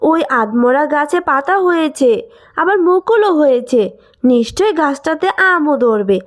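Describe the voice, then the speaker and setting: A woman's voice: sits high, animated, normal speed. A woman voicing a kind, patient young village widow and mother in a cartoon moral story, speaking earnestly. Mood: motherly. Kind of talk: animated moral story acting.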